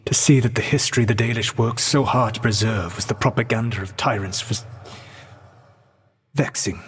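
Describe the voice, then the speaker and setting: A man playing an ancient elven trickster god for a video game, proud, brooding and regretful, with measured, formal, eloquent diction and a husky voice. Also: on the low side. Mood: wistful, weary. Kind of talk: video game dialogue acting. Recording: long hall-like reverb.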